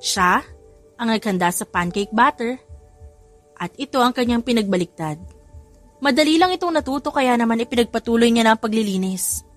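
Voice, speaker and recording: A woman's voice: on the high side, lively intonation, normal speed, long pauses, faint music behind. A woman narrator reading a scripted love story.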